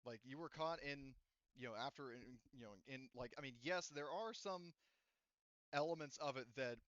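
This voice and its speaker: A man talking casually as a game master, explaining things in a halting, repetitive way to players. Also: mid-range voice, a bit bright, smooth, no echo, lo-fi sound. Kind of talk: casual unscripted discussion of a tabletop roleplaying game.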